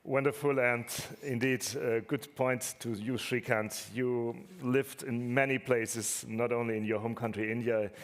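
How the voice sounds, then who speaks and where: A man's voice: medium pitch, steady tone, measured pace, few pauses. Guy moderating a panel, regular voice, asks questions with some ums. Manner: questioning, with fillers.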